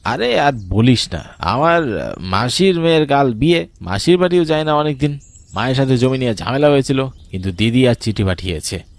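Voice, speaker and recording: A man's voice: mid-range voice, no echo, lightly acted. A man voicing an eager, sociable young village man in a cartoon, chatty and insistent. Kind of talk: cartoon dialogue.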